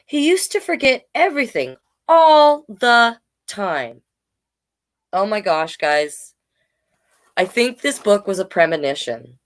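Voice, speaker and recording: A woman in her forties giving a casual children's read-aloud, playful and expressive, with chatty asides. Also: mid-range voice, slow. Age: 40s. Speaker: children's storyteller.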